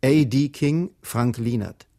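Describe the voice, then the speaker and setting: A man reading formal radio play credits as an announcer, in a sober, measured manner. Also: medium pitch, warm tone.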